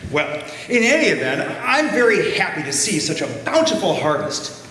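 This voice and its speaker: A performer playing a theatrical village authority figure with drawn-out emphasis in a readers theater play. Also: mid-range voice, very animated. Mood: wary, then pleased. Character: grown-up authority among villagers, suspicious but pleased. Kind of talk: readers theater stage acting. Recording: very echoey hall, clear background noise.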